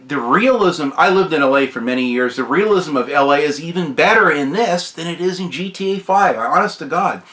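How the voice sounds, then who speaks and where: Male game reviewer, bright forceful voice gone hoarse, unscripted, rambling and keen. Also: a little high, animated, fairly quick. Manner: enthusiastic, rambling, repeats praise. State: hoarse from recent sickness, often clearing throat.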